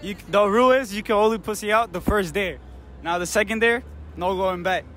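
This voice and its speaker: A man's voice: high-pitched, fairly quick, a bit bright. A young man behind the camera setting dares, bossy and laughing, outdoors at night in a city square.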